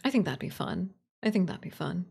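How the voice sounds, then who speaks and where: A woman streamer chatting casually about her writing in an informal, self-conscious, excitable way. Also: on the low side, fairly quick, gravelly, slightly rough. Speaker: streamer, writer and voice actor. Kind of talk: casual livestream chat.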